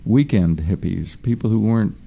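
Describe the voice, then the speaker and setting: A man co-hosting an interview, speaking haltingly with many fillers. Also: on the low side, expressive, creaky, highs cut off. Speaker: co-host.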